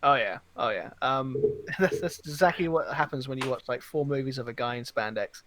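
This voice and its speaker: A man as a podcast guest, bantering casually in a halting, rambling way. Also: mid-range voice, fairly bright tone, slight background noise.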